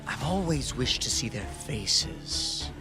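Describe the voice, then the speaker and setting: An actor playing a sinister, brooding alien leader in an audio drama, measured and ominous. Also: medium pitch, very animated, over music. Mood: brooding menace.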